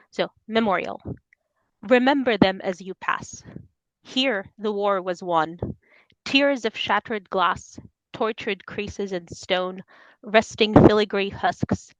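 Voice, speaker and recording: A woman's voice: medium pitch, takes it slowly, dry recording, low-quality recording. A woman from Soviet Ukraine speaking as a poet at a reading, presenting her own work. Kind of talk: poetry reading with informal introductions.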